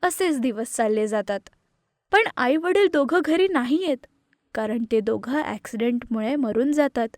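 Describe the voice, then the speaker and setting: A woman reading scripted narration for an animated moral story. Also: on the high side, a little slow, dry recording, studio quality.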